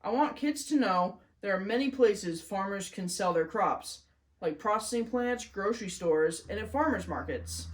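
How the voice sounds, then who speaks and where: A woman's voice: medium pitch, animated, moderate pace, natural voice, rough recording. A woman voicing a proud, earnest farm girl explaining things in a children's storybook.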